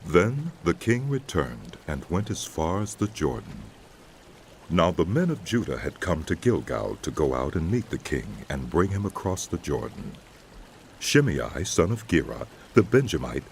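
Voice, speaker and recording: A man narrating a dramatized Bible as a scripted, measured storyteller. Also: low-pitched, sing-song, gravelly, a little mellow, a little hiss or hum.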